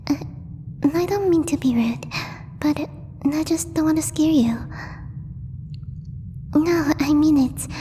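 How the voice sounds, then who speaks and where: A woman voicing a gentle, timid alien girl in a soft, breathy ASMR roleplay, speaking formally and haltingly as she searches for words. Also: high voice. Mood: nervous, hesitant. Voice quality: breathy, whispery. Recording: long reverb, faint background noise.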